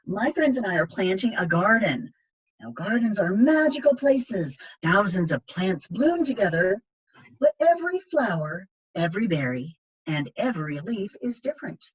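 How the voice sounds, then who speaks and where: A woman reading picture books aloud as a library storytime reader, with measured, emphatic storytelling. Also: medium pitch, very animated, breathy edge, muffled recording. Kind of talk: expressive read-aloud of children's picture books.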